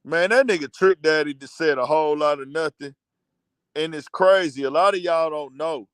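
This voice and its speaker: A man's voice: on the high side, normal speed, clear, resonant tone, no room sound, studio quality. A man hosting a casual livestream commentary show, ranting bluntly and profanely. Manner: blunt, profane, heated rant.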